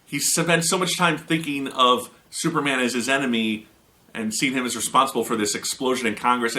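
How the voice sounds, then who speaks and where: Animated, bright-voiced male film reviewer. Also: mid-range voice. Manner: animated and emphatic, rising sharply when ranting. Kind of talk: opinionated movie review monologue.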